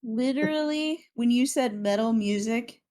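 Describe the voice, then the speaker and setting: A woman's voice: fairly high pitch, measured pace, a little mellow. A young woman chatting casually on a podcast, playful, giggly and self-deprecating. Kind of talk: casual chat.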